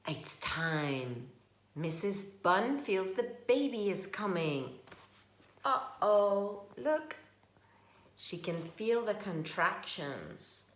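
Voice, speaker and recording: A woman reading a picture book aloud to young children, slow and expressive, stopping to ask questions. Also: sits low. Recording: echoey room.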